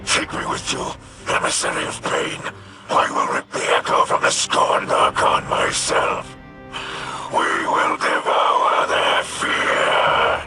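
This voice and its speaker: An actor voicing a cruel, fanatical undead alien warlord in a video game, with a gravelly voice and a halting, grandiose delivery. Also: male voice, over loud music, close to an everyday voice. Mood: hungry, menacing. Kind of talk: dramatic video game villain acting.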